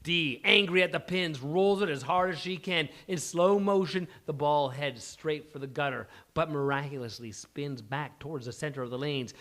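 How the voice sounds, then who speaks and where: A man's voice: fairly high pitch, normal speed, few pauses, fairly bright tone. A man narrating a comedy script aloud at a live table read, dramatically playing up the action. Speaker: stage-direction narrator at a live script reading.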